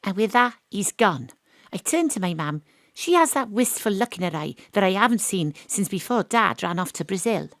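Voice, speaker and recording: Female actor as brisk private eye and narrator. Wry noir parody, animated intonation, dry studio sound. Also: medium pitch, no echo, natural voice. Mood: wry narrating. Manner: deadpan noir-style narration, punchy questioning. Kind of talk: comic audio drama narration and acting.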